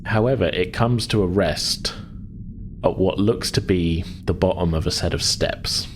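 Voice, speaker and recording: A man's voice: deep voice, unhurried, soft background music. A man narrating a tabletop game as game master, improvising in loose, hesitant, informal phrases.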